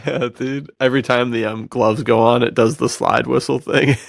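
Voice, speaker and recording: Gravelly-voiced man in laid-back chat. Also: medium pitch, steady tone, normal speed, no room sound, clean studio sound. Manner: laid-back, joking bro slang. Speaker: podcast co-host. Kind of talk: casual chat about books and films.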